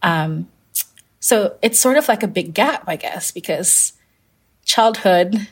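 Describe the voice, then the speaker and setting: A woman's voice: medium pitch, expressive, slow pace, husky. A young woman from Malaysia, a fashion designer answering interview questions in a thoughtful, hesitant way. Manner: thoughtful, many fillers and restarts.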